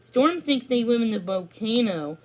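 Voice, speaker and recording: A young female pony from a children's storybook, acted out by a woman reader. She speaks in a fairly high voice, with a loyal, playful and earnest temper. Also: lively intonation, moderate pace, a little mellow, low-quality recording. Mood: earnest, worried. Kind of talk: children's storybook character dialogue.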